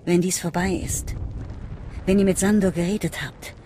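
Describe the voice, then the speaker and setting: An actress playing a secretive, fanatical cult leader in a game, speaking in a measured, earnest, conspiratorial way. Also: female voice, on the low side, fairly even intonation, mellow, a little hiss or hum, close to an everyday voice. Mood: urging, conspiratorial. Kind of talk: dramatic game voice acting.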